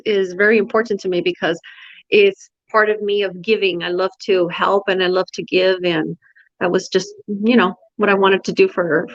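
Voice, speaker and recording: An easygoing woman speaks as a guest on a faith podcast, answering questions at a relaxed pace with frequent "um"s. Her mid-range voice comes through a slightly dull remote call line. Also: medium pitch. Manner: easygoing, laughs lightly, hesitant fillers. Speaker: guest sharing her testimony. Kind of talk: casual interview answers.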